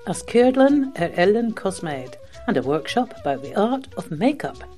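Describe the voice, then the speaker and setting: A woman's voice: mid-range voice, big pitch swings, moderate pace, mellow, soft background music. A woman presenting a scripted radio magazine programme.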